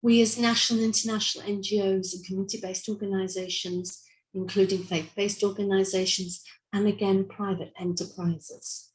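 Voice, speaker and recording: A woman giving a measured, formal conference presentation and introducing the next speaker. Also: mid-range voice, poor audio. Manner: measured and formal, with occasional restarts. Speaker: seminar presenter and moderator.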